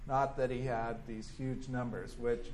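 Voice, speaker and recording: Man, Bible class teacher, conversational, animated, reads aloud, faint background hiss. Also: mid-range voice, measured pace. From California. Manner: conversational, animated, acts out quoted voices, many restarts and fillers. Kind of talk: teaching a class on a biography, reading aloud and commenting.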